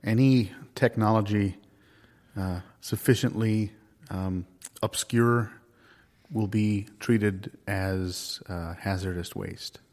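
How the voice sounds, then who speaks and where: A man with a fairly low voice riffs in improvised comedy. He speaks in a halting, musing way and keeps a mock-serious, deadpan face on every idea. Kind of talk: improvised deadpan comic banter.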